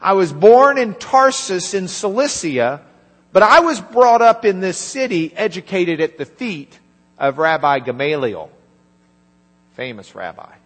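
A man preaching a sermon, retelling a scripture story in a conversational way and quietly acting out the lines of its people. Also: high voice, big pitch swings, a little slow. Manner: conversational, acts out dialogue in quiet asides. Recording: reverberant hall with mains hum.

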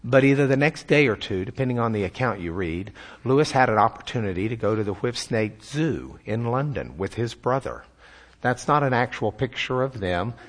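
A man with a Texan accent giving a folksy, self-deprecating church talk about a writer's conversion, often repeating words as he builds a point. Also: mid-range voice. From Texas. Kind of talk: sermon-style talk with slides.

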